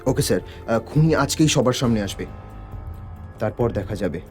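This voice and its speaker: An actor playing a calm, authoritative police detective with a cool, measured, cryptic manner in an audio thriller. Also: male voice, medium pitch, soft background music, natural voice. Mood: calm, assured. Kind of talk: Bengali audio detective drama acting.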